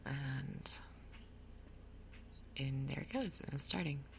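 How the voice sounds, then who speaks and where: A woman giving soft-spoken ASMR game commentary in a breathy, gentle, unhurried way. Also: very deep voice, a little hiss or hum. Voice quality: breathy, whispery. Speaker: gaming video host.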